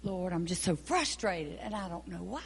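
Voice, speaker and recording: A woman's voice: lowish voice, expressive, moderate pace, creaky, a little hiss or hum. A woman playing a frustrated church singing teacher who prays aloud with emotional pleading, in a folksy dialect. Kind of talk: acted drama scene.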